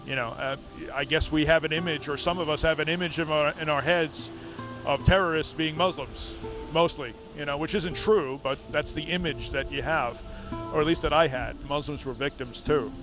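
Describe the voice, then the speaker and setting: A man in his fifties, calmly and reflectively talking in an interview and asking questions. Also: a little high, normal speed, music in the background. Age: 50s. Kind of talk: recorded interview.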